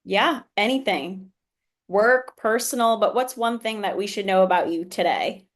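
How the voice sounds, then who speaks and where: A woman's voice: medium pitch, normal speed. A woman hosting a casual business podcast, asking questions in a friendly, chatty way. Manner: friendly, chatty, laughs often. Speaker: podcast host.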